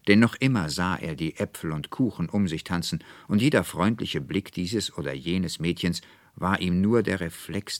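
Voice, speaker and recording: A man narrating a literary audiobook as an expressive, measured storyteller, recorded in a studio. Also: sits low, no echo. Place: dry studio.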